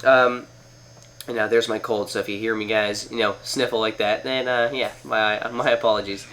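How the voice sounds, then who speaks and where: A man's voice: fairly low pitch, fairly even intonation, normal speed, a bit bright. A man from Canada with a cold, sounding nasal as he talks through a video game in a rambling, casual way with many fillers. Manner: rambling, lots of fillers and restarts. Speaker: gaming video host. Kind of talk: casual gameplay commentary. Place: at home, playing on a TV.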